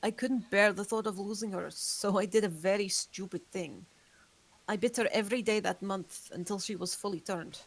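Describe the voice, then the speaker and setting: A woman reads a vampire who has outlived everyone she loved, speaking in a measured, somber way. Her voice sits at an ordinary middle pitch, and the tone stays guarded and dry. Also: steady tone, natural voice, low-quality recording. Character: ageless female vampire, guarded and remorseful. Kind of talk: table read.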